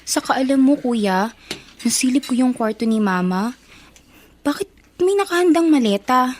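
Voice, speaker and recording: High-voiced, puzzled young daughter. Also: female voice, animated, moderate pace, slight background noise. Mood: worried, puzzled. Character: puzzled, observant young daughter confiding in older brother. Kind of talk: Filipino radio drama acting.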